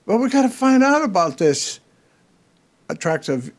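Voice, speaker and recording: A man's voice: sits high, sing-song, measured pace. An older man hosting a casual community TV interview, joking and telling anecdotes. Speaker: talk show host.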